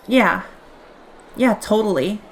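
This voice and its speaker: An actress playing a gentle, hesitant young female superhero in an audio drama. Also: medium pitch, animated, takes it slowly, slight background noise. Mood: reassuring, hesitant.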